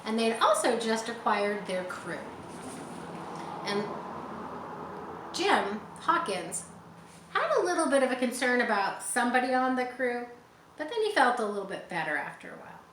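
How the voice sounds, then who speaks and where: A woman reading a children's story aloud expressively, pausing to explain words for young listeners. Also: fairly high pitch, big pitch swings. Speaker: audiobook reader. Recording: roomy echo, faint background noise.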